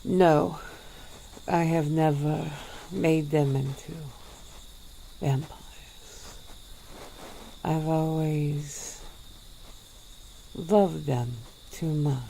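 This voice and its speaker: A woman voicing a centuries-old female vampire in an ASMR roleplay, speaking in a slow, drawn-out, purring way. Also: fairly even intonation, vocal fry, slight background noise, full character voice, rough recording. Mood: languid. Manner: slow, low, drawn-out purring delivery with soft laughs.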